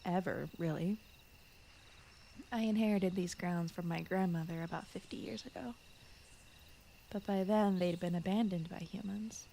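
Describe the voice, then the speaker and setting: A woman voicing a gentle, shy fox spirit who guards a forest, speaking softly and often in whispers in a soothing bedtime ASMR roleplay style. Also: lowish voice, steady tone, moderate pace, pauses often, a little hiss or hum. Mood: shy, rambling. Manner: soft-spoken, often slipping into whispers, rambling, apologetic. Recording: clear night cricket and forest ambience. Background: crickets, insects, night forest ambience.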